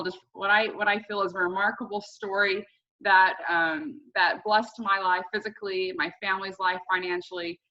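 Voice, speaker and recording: A woman with a bright, forceful voice hosts a group call. She speaks earnestly and expressively as she shares personal stories. The sound is a little dull and lo-fi, as on a video call. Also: medium pitch. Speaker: host introducing a guest. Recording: dull lo-fi online call audio.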